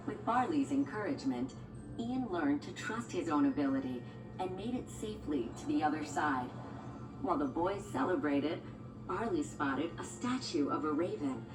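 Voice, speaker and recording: Female storybook narrator for children, lowish voice, scripted read, music underneath. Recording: echoey, distant, music behind.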